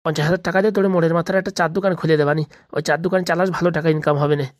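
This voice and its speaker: A woman's voice: deep voice, fairly even intonation, racing, a little mellow, dry recording, studio quality, natural voice. A woman voicing a scolding, exasperated village father in a comic cartoon, with a coarse, haggling manner. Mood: exasperated, bargaining.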